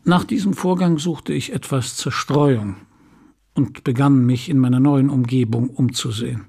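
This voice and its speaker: A man reads first-person literary prose in a mid-range voice. His phrasing is measured and deliberate. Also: medium pitch, vocal fry, dark tone, husky.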